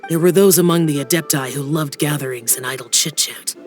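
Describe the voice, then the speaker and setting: A man's voice: on the high side, fairly quick, lightly acted. A man playing a reserved, brooding young immortal guardian in a dubbed fantasy game, speaking solemnly and formally, with some hesitation. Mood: pensive, guarded. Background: soft music.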